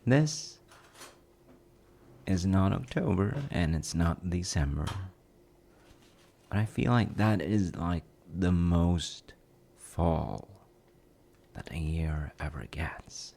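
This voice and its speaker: A man playing a cozy, playful, reassuring dad in an intimate audio roleplay, talking softly and conversationally to the listener with gentle teasing. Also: deep voice, slow, vocal fry, long pauses, exaggerated voice. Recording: noticeable kitchen noise of sizzling and stirring. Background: frying sizzle and kitchen sounds.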